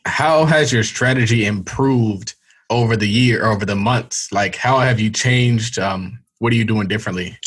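A man's voice: fairly low pitch, normal speed, fairly bright tone, breathy edge. A man interviewing a guest in a laid-back way, asking short questions. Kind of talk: casual interview.

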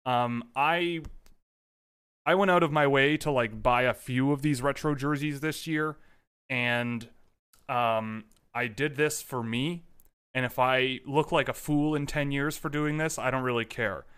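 Young male stream host, casual and rambling, many fillers, smooth voice, dry close mic. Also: mid-range voice, a little slow, a bit bright, dry recording. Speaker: hockey prospect livestream host. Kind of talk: casual livestream chat.

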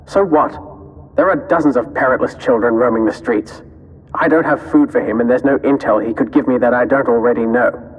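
A man plays a cold, suspicious underground leader in a sci-fi radio play. He talks down to people with sardonic disdain in a mid-pitched voice, in long reverb. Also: brisk, husky, natural voice. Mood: scornful. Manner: sardonic, condescending. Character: callous, suspicious male resistance boss, condescending. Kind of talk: sci-fi audio drama acting.

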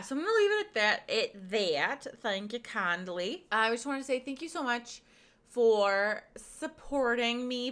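Woman host, bright voice, a bit worn, chatty and frank, lots of um and like. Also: fairly low pitch. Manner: chatty, frank, many fillers. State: losing her voice. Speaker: podcast host and pleasure coach. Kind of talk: casual podcast monologue.

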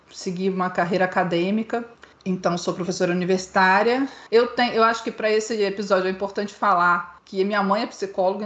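A woman from Brazil, a lawyer and university professor, introducing herself as a podcast guest in a thoughtful, unhurried way. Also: medium pitch. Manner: thoughtful, unhurried, with many fillers. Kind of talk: casual chat. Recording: roomy echo.

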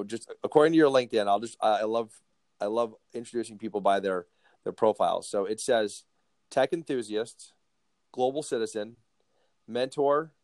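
A man's voice: mid-range voice, darkish tone, no room sound. A man hosting a podcast interview, talking casually and often restarting and repeating words. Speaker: podcast host.

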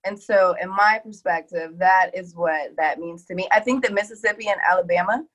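A woman's voice: fairly low pitch, a little fast, a bit bright. A woman from the South, speaking candidly as a podcast guest, hesitant and full of ums. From Deep South of the US. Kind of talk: casual introduction.

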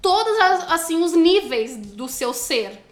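A woman's voice: very high voice, very animated, slow. A woman from Santos with a Brazilian accent, a podcast guest chatting casually in a slangy, jokey, mock-earnest way. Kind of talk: casual chat.

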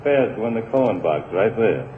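An American man playing a gruff, sardonic, unbudging middle-aged bus driver, curt and dry, in a 1940s radio comedy. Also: lowish voice, expressive, normal speed, lightly acted. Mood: businesslike. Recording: tinny, noisy 1940s radio broadcast.

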